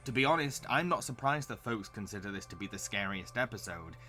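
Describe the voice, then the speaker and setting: A man's voice: lowish voice, expressive, fairly quick, bright, forceful tone, soft background music. A man narrating a scripted cartoon review as the host. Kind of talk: scripted video essay narration.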